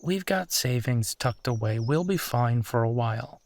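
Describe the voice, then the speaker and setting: A man's voice: mid-range voice, lively intonation, darkish tone, natural voice. A man playing a supportive, affectionate husband in an intimate comfort roleplay, talking in a reassuring, conversational way. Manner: reassuring, conversational, unhurried pauses. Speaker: audio roleplay performer.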